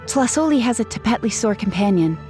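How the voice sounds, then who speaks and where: A woman's voice: on the high side, expressive, brisk, over music, lightly acted. A woman playing a friendly, impulsive and slightly hapless young woman in a video game. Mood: puzzled.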